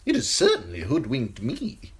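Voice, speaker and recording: A woman voicing a sly, simpering male eunuch courtier with a high, tittering voice, in an audiobook. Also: huge pitch swings, normal speed, heavily acted. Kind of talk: audiobook character voice.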